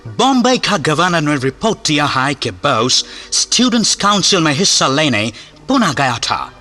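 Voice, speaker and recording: An actor playing a stern, pompous British colonial governor in a radio play, speaking deliberately in British-accented Hindi with English phrases. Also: male voice, on the high side, very animated, sharp tone, full character voice. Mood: grave, indignant. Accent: British-style accented Hindi, put on. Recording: music behind the voice.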